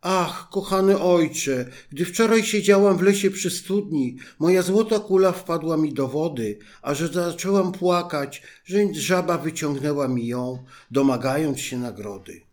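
A man voicing a spoiled, fretful young princess in a fairy tale, with high exclaiming speech. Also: big pitch swings, unhurried. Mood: anxious.

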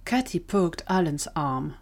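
A woman's voice: sits low, animated, a little slow. A woman narrating a scripted audio drama story as the storyteller.

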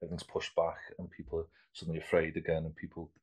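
A man's voice: very deep voice, monotone, rapid-fire, darkish tone, breathy edge. A man in his fifties, an artist being interviewed, talking casually and rambling with many restarts. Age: 50s. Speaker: artist guest.

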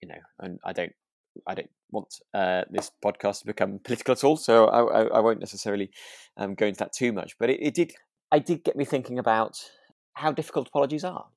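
Creaky-voiced man, hesitant podcast chat. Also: lowish voice, a little mellow, no echo. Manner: hesitant, halting, reflective. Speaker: podcast co-host. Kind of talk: relaxed casual discussion.

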